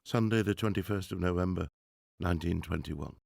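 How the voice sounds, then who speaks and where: Deep, creaky-voiced grieving professor played by a man. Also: a little mellow, no room sound, clean studio sound, soft background music, full character voice. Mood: sorrowful, solemn. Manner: formal, earnest, halting when troubled. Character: grieving, driven middle-aged professor and father, 1920s. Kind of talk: radio drama acting with diary narration.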